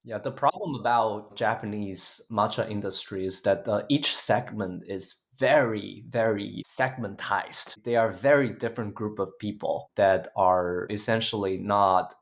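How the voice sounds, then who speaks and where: A man co-hosting a podcast, explaining with frequent "uh" pauses and restarts. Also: lowish voice. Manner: hesitant, many "uh" fillers and restarts. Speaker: podcast co-host. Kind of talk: casual podcast discussion.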